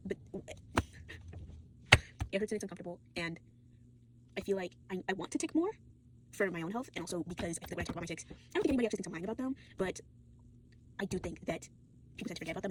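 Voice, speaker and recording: Teen girl vlogger, worn out and sore, races through a rambling monologue, slightly low voice, faint room hiss. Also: animated. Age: late teens. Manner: fast, rambling, full of fillers and restarts, swears often. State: fatigued and sore.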